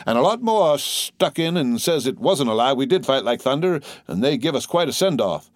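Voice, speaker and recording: Guy doing a griping young soldier, pitched up, rough country grammar, stubborn, audiobook style. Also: very animated, moderate pace, no room sound, clean studio sound, lightly acted. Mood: indignant, stout. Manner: rustic ungrammatical dialect, complaining.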